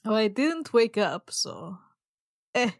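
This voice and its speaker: A woman's voice: mid-range voice, very animated, takes it slowly, vocal fry, no room sound. Dry-recorded woman telling game story. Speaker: player in a tabletop roleplaying podcast. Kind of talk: casual comic storytelling.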